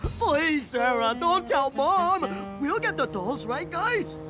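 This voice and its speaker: Slow, dopey cartoon boy. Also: sits high, very animated, over music, heavily acted. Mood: pleading. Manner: dopey, goofy. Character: Ed, big dim-witted, good-natured cartoon boy. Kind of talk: exaggerated cartoon game acting.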